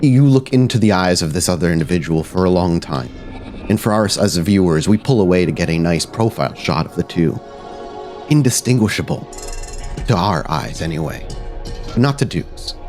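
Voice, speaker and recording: A man narrating a tabletop horror game as storyteller, suspenseful and deliberate with dramatic pauses. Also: sits low, expressive, a little mellow, faint music behind.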